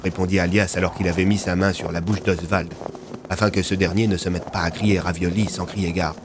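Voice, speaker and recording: Low-voiced male storyteller. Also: little pitch movement, fairly quick, very noisy. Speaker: narrator. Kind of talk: scripted storytelling narration.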